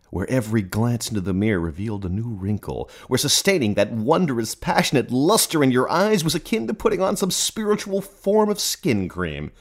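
A man performing a radio play as a merciless, taunting inner narrator, speaking in literary second person with theatrical, accusing emphasis and a husky voice. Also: fairly low pitch, heavily acted. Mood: bleak, dramatic.

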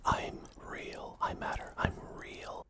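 A desperate, lonely man in his forties, acted by a man for a radio play. He pleads in a breathy, fragile whisper, repeating himself haltingly as if begging to be noticed. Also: bright, forceful tone. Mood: pleading, desperate. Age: 40s. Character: desperate, lonely forty-two-year-old man pleading to be seen.

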